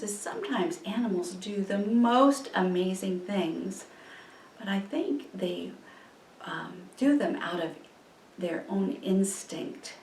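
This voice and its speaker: A woman giving an informal, reflective children's church lesson, thinking aloud with halting pauses, recorded at a distance in a roomy space. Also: fairly low pitch, gravelly. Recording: roomy, distant mic, faint noise.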